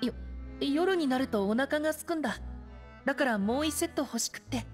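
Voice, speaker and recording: A woman playing a timid, earnest, soft-spoken teenage boy in an anime-style game, hesitant and subdued, with music behind. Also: sits high, lively intonation, a little fast. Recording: studio voice over game music. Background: background music.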